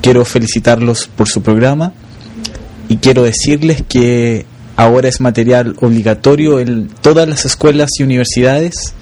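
A man from Chile speaks in an average-pitched voice. He delivers a recorded listener greeting in a mock-serious style. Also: darkish tone. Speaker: listener sending a voice message.

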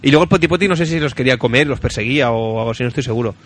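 A man's voice: medium pitch, racing, a bit bright. A man from Spain with a Spanish accent hosting a radio show, chatting casually and jokily and reading out listener messages. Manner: jokey, colloquial. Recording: music playing behind the talk. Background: music.

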